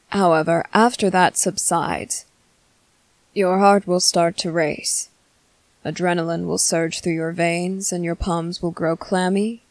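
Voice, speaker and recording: A woman voicing a composed, menacing male vampire commander in an ASMR roleplay, speaking formally and deliberately with dramatic pauses. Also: on the low side, vocal fry, no echo. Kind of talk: ASMR roleplay monologue to the listener.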